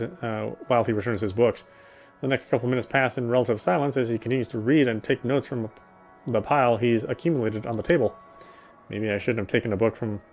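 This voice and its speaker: A man reads a horror visual novel in the first person at an ordinary middle pitch. He stumbles and restarts words now and then, and faint music plays beneath his voice. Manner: steady reading with frequent restarts of words. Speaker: narrator.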